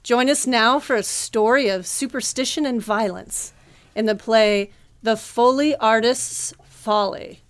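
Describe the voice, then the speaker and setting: A woman's voice: a little high, a little slow, a little hiss or hum. A woman announcer delivering scripted narration in a radio-play style.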